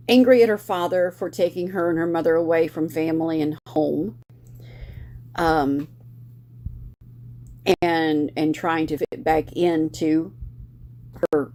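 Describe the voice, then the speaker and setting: A woman's voice: low-pitched, steady tone, slow pace, long pauses, mellow, slight background noise. A middle-aged woman hosting a book club, talking casually about a novel with many fillers and restarts. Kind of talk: casual book review chat.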